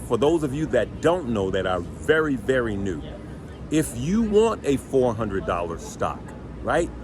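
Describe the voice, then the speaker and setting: A deep-voiced man gives casual stock trading advice to his viewers. He is emphatic and repeats himself to make each point land. His pitch sits mid-range, and steady traffic and street noise run behind him. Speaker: stock trading channel host.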